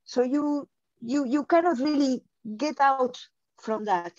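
High-voiced woman on a muffled call. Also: slow pace, darkish tone, dry recording. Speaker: keynote speaker, mathematician. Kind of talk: explanatory academic answer in a question session. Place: indoors on a video call. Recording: dull, lo-fi video call audio.

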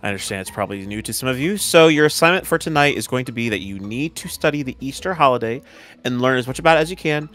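A man playing a patient robot professor who explains things haltingly to a class in a Minecraft roleplay. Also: mid-range voice, lively intonation, a bit bright, faint music behind, lightly acted. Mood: patient, instructing.

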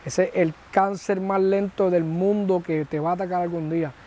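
A man's voice: sits high, steady tone, dark tone. Man giving direct coaching advice. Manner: direct, coaching, speaks fast in bursts. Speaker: filmmaking teacher. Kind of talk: casual advice to camera. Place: outdoors by palm trees. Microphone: lapel.

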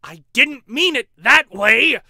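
Guy playing a flustered young teacher, anime drama, bright voice, takes his time, up and down. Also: extremely high pitch, expressive, slow, creaky, no room sound, clean studio sound, full character voice. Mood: flustered, scolding. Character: easily flustered, put-upon young male teacher. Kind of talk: amateur anime-style drama acting.

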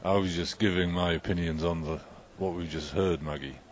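A man hosting a casual podcast, talking in a relaxed, rambling way with many fillers, recorded outdoors on a handheld recorder. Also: low voice, fairly quick, a little hiss or hum. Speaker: podcast host. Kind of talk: casual chat. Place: outdoors at a festival.

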